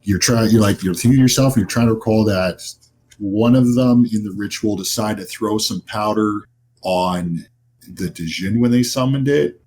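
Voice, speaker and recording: Deep-voiced man running a tabletop game. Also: normal speed, a little mellow, a little hiss or hum. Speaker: game master. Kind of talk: narrating a tabletop horror roleplaying game. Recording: music behind the voice. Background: music.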